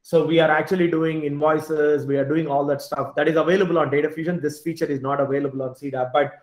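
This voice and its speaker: A man's voice: on the high side, flat delivery, fast talker, darkish tone. A man giving an informal slide presentation on a video call, often restarting his words and adding filler asides. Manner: repeats and restarts words, frequent "you know" asides. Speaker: tech team lead presenting at an online meetup. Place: bright room at home or small office, indoors. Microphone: computer mic on a video call. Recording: echoey room on video call.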